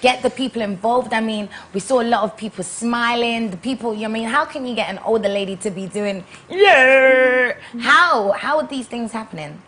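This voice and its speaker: High-voiced woman hosting a talk show. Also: a bit bright. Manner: curious, asks quick follow-up questions. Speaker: TV morning show host. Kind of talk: casual interview chat. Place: TV studio.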